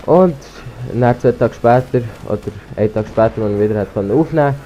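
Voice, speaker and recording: A man's voice: medium pitch, fairly quick, warm tone, highs cut off, a little hiss or hum. A teenage boy with a Swiss German accent, rambling casually over gameplay through a cheap headset while slightly sick with a cold. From Switzerland. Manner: rambling, hesitant, full of restarts and ums. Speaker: gaming YouTuber. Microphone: cheap gaming headset.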